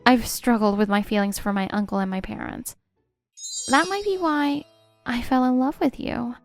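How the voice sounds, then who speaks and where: Woman acting gentle, high-voiced young employee. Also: moderate pace, lightly acted. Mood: wistful, tender. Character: sincere, gentle young female restaurant employee. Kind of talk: manga voice acting. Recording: music behind the voice.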